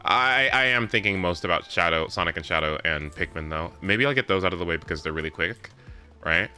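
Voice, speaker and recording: Young guy streaming games, really low but sharp forceful voice, rambly, music behind. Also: lively intonation, fairly quick. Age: young adult. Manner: rambling, informal. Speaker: video game livestreamer. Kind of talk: casual live stream chat. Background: game music.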